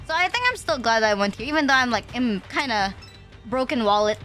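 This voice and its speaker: Young woman streamer, high bright voice, rambling casual chat, faint music underneath. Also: expressive, a little fast. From Philippines. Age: young adult. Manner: rambling, filler-heavy, slangy.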